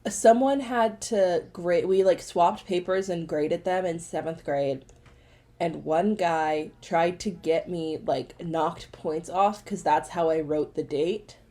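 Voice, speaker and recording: A young woman co-hosting a podcast, chatting casually with quick asides and self-corrections. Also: on the low side, mellow. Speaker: podcast co-host. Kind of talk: casual chat.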